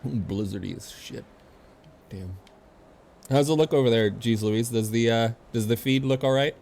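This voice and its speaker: A man's voice: medium pitch, moderate pace, vocal fry, a little mellow. A man streaming a video game, chatting casually with viewers and commenting as he plays in an easygoing way. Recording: game music behind the voice. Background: video game music.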